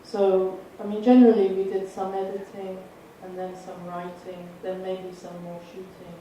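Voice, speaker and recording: A woman, a film director, answering an audience question with frequent pauses and fillers. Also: mid-range voice, level tone, dark tone. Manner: hesitant, filler-heavy. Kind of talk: festival Q&A answer. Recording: echoey hall, distant mic, noisy.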